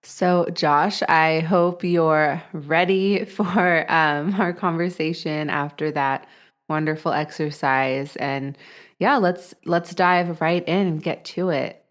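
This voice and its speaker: A woman hosting a podcast interview, calm and measured as she asks questions and reflects. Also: deep voice. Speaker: podcast host.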